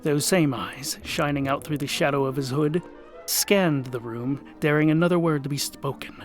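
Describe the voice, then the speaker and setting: A man narrating a comic fantasy tale as the show's host, theatrical, dropping to hushed whispers and rising to sudden bursts. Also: fairly high pitch, normal speed, faint music behind. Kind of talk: scripted audiobook narration.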